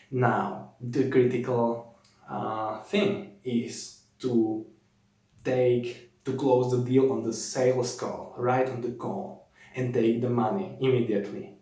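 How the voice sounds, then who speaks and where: A man giving informal sales coaching to the viewer, speaking in a halting, repetitive way and checking in as he goes. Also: medium pitch, a little mellow. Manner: halting, repetitive, often ends points with "okay?". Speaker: online sales coach, video host. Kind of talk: informal instructional talk to camera. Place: reverberant room. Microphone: placed at a distance from the speaker. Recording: very echoey room, distant mic.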